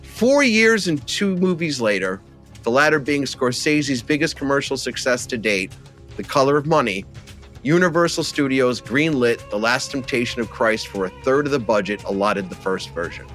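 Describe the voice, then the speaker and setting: A man's voice: mid-range voice, expressive, moderate pace, fairly bright tone, soft background music. A man co-hosting a film discussion podcast, talking with wry, theatrical flourishes. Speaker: podcast co-host. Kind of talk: film discussion podcast, chat and read-out copy.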